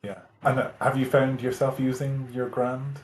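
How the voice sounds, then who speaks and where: A man with an Irish accent hosting a casual interview, asking questions in a hesitant, halting way. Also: mid-range voice, little pitch movement. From Ireland. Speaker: interviewer, host. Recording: echoey room sound.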